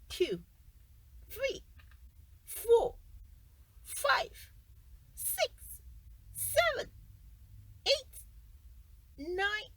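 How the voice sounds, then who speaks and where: A woman voicing a greedy, menacing fairytale giant with slow, drawn-out, emphatic delivery. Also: very high-pitched, dry recording, voice pushed up, exaggerated voice. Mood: gloating counting. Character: greedy, menacing giant man from a fairytale, gloating over treasure.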